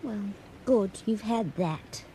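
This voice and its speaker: A woman's voice: medium pitch, animated, slow, mellow. An actress playing a lovestruck, earnest young female student in a radio drama, wistful and sincere. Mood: embarrassed, subdued. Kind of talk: 1980s radio drama acting. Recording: noisy vintage radio drama recording.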